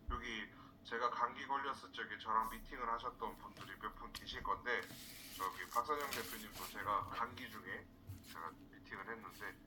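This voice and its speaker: A man from South Korea teaching a live online class in an informal, halting way, repeating words for emphasis. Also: lowish voice, sharp tone, slight background noise, rough recording. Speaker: instructor, marketing trainer. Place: indoors, online screen-share session.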